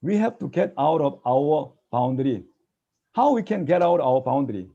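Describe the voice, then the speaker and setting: Gravelly Korean-accented man teaching a class. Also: a little high, normal speed, highs cut off. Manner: animated, tag questions, mimics students' replies. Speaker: language teacher. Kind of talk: classroom lecture mixing English and Tagalog.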